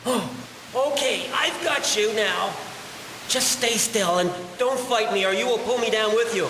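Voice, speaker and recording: A male actor plays a kindly passer-by turned rescuer in an audio drama, urgent yet reassuring. His voice is high for a man, with long reverb and clear hiss around it. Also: a little slow.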